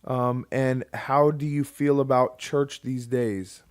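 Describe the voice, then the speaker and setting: A man's voice: mid-range voice, fairly even intonation, takes it slowly, clear, resonant tone. A man co-hosting a casual podcast, rambling with many fillers and restarts. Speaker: podcast co-host. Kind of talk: casual chat.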